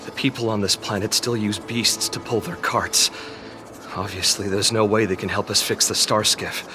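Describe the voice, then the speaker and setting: An actor playing a weary, idealistic resistance fighter in a video game, speaking earnestly and with measured resolve. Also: male voice, fairly low pitch, fairly even intonation, music in the background, close to an everyday voice. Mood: weary resignation. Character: stranded male warrior, weary, steadfast, idealistic resistance fighter. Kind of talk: dramatic video game voice acting.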